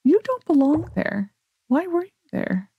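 A woman's voice: high voice, very animated, a little slow, creaky, narrow, tinny audio. A woman with a General American accent giving casual gameplay commentary, rambling as she thinks aloud to herself. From United States. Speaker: gaming video host.

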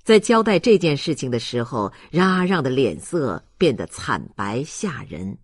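A man's voice: medium pitch, big pitch swings, a little slow, slightly rough, no echo, studio quality. A man narrating a literary audiobook in a dramatic storytelling style.

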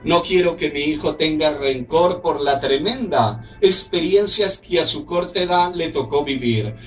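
Middle-aged man hosting a radio show from a small studio, earnest and indignant, a little far from the mic. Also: on the high side. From Colombia. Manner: earnest, indignant, speaks as a compatriot. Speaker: radio host. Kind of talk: radio talk show, reading a letter aloud. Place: small office studio with a desk.

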